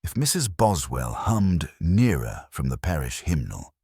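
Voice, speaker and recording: A man reading the part of a drily sceptical detective inspector, deliberate and understated. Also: a bass voice, sing-song, darkish tone, breathy edge, dry recording, clean studio sound, exaggerated voice. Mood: dry, musing.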